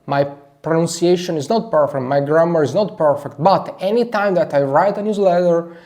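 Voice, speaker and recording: Italian guy walking thru his tools, mellow voice, keeps saying actually, really pushes his points. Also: fairly high pitch, moderate pace. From Italy. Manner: energetic, emphatic, repeats "actually" often. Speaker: marketing advisor. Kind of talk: conversational screen-share tutorial.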